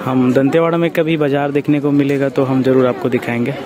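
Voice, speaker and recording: A man's voice: mid-range voice, flat delivery, fairly quick, crowd noise. A man casually narrating his own travel vlog, filmed on a handheld phone camera, with frequent hesitation sounds. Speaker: travel vlogger. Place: hotel room and roadside at night. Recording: phone recording with background noise.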